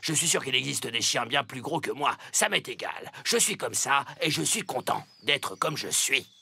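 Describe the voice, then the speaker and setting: A man voicing a blunt, sensible farm dog with a gruff, matter-of-fact manner in a children's cartoon fable. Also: mid-range voice, expressive, normal speed, sharp tone, heavily acted. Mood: firm reassurance.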